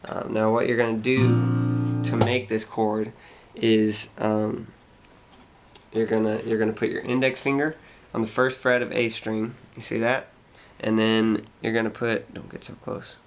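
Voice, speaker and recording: A man's voice: fairly low pitch, little pitch movement, a little slow, creaky. A young man with a slightly scratchy voice from a cold, hesitantly explaining a guitar part with many ums and restarts. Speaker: guitar teacher. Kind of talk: casual guitar tutorial. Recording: guitar playing throughout.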